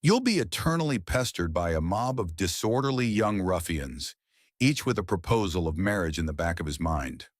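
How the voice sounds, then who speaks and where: A man's voice: deep voice, sing-song, normal speed, dry recording. A man playing a dry, good-humored middle-aged English doctor in a pulp story, given to wry understatement. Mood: wry warning.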